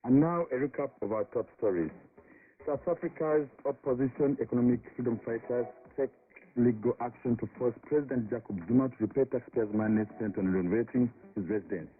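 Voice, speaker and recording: A man's voice: medium pitch, a little fast. A man hosting a radio news programme, reading scripted announcements and headlines over a shortwave broadcast. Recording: muffled narrow-band shortwave radio.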